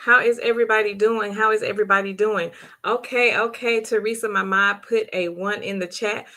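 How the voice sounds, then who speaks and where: A middle-aged woman with a hoarse voice, hosting a live stream and warmly greeting viewers by name in the chat. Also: medium pitch, steady tone, brisk. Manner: bubbly, repetitive greetings. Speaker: livestream host.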